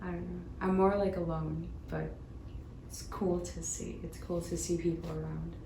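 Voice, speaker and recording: A woman's voice: fairly low pitch, flat delivery, normal speed, creaky, mellow. A woman in her twenties speaking in a laid-back way about skating. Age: 20s. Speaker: skater. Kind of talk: casual interview answers. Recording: echoey room with background noise.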